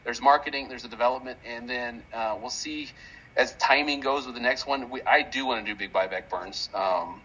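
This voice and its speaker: A man hosting a casual online Q&A, speaking haltingly and rambling, often restarting his sentences mid-thought. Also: mid-range voice, bright, forceful tone, breathy edge, highs cut off. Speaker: host of a crypto project community audio call. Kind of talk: casual unscripted Q&A chat. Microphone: online audio call.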